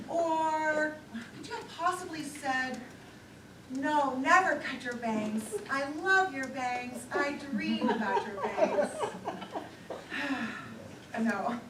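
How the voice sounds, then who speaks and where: An actress plays a self-conscious, chattering woman on an awkward date in a stage play. Her voice is high and theatrical, and she rambles at an unhurried pace. It is heard from a distance in an echoey theater, with clear noise over the recording. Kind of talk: live one-act stage play monologue.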